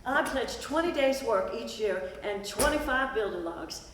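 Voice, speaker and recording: Woman acting as a plain country villager announcing stuff onstage, bit high, big echo, some noise. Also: animated, unhurried. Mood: proud, declarative. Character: plain-spoken rural townsfolk pledging to help. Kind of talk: scripted stage play recitation. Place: stage performance at a festival. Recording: echoey hall, distant mic, noisy.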